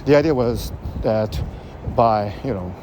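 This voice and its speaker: Japanese-accented male host, unhurried and hesitant, recorded outside with clear city noise behind. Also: mid-range voice, darkish tone. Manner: halting, many uh fillers and pauses. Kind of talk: casual spoken commentary. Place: outdoors in a city. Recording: outdoor street noise, traffic.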